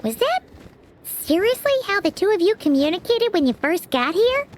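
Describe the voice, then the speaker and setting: A woman voicing a tiny, excitable floating companion with a squeaky, cheeky, expressive voice. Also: normal speed, slight background noise. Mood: curious.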